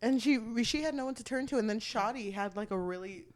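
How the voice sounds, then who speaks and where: A woman co-hosting a podcast, chatting casually about a book, animated and giggly, swearing freely as she acts out quotes. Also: fairly high pitch, quick pace, no echo. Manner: animated retelling with acted-out quotes. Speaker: podcast co-host.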